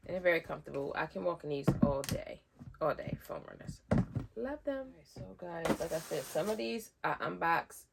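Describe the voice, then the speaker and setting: Deep, gravelly-voiced woman with a cold. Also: heavy background noise. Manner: chatty, rambling with fillers. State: nasal from a head cold. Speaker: sneaker vlogger. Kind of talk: casual unboxing chat.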